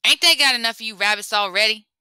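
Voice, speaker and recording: A woman voicing a big, shaggy male animal in a folktale, gruff and grumbling in a blunt way. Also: on the high side, fast talker, bright, forceful tone, dry recording, clean studio sound.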